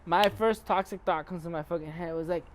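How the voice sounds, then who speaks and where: A man's voice: a little high, steady tone, fairly quick, a little hiss or hum. Crude joking young male podcast host. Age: 20s. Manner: crude, joking, swears a lot. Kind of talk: casual chat. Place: outdoor patio lounge at night.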